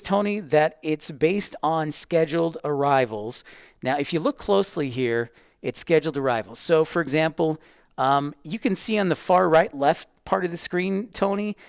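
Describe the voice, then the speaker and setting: Clear-voiced man hosting a casual livestream tutorial. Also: fairly high pitch, no echo, clean studio sound. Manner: chatty, informal, addresses viewers directly. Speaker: livestream host teaching plane-spotting tools.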